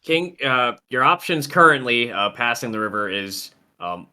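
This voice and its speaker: A man's voice: mid-range voice, animated, normal speed, sharp tone, clean tone. A man running a tabletop game as game master, rambling and circling back with lots of filler words. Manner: rambling, full of "uh" and "like". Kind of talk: narrating a tabletop game over voice chat.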